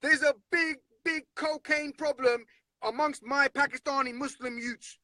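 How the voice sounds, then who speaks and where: A man's voice: extremely high pitch, fairly even intonation, a little slow, a crowd in the background. A man talking earnestly and bluntly in a casual conversation, often repeating himself.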